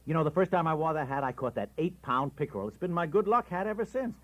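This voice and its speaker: An actor playing a stubborn middle-aged American husband in a 1960s radio comedy sketch, exasperated and emphatic, insisting by repetition. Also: male voice, fairly high pitch, lively intonation, fast talker, a bit bright. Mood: fretful, insistent. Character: stubborn, indignant middle-aged husband fond of his old fishing hat.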